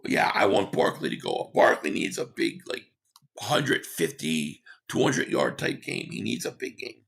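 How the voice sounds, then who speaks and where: A man hosting a sports talk livestream, hoarse and losing his voice, reading chat comments and giving rambling, opinionated takes. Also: sits low, big pitch swings, unhurried, a bit bright. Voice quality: hoarse, gravelly. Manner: rambling, opinionated, repeats himself.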